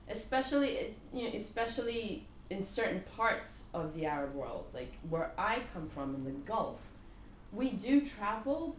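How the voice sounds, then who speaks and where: A woman in her thirties from Kuwait with Arabic as her first language, chatting as a podcast guest, telling stories with lots of fillers and frequent laughs. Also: mid-range voice. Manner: animated storyteller, laughs often, many fillers. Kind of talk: casual conversation. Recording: echoey room with faint noise.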